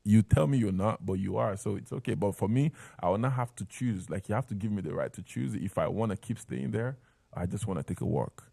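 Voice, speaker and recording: A man's voice: on the low side, a little fast, vocal fry, warm tone, husky, no room sound. A man with a Nigerian accent talking conversationally as an interview guest, sharing personal stories.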